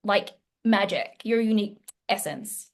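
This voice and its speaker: A woman's voice: mid-range voice, animated, unhurried, creaky. A woman in her thirties hosting an interview on a video call, talking in an effusive, emphatic, conversational way. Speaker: podcast host, healing teacher. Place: room at home, indoors, video call.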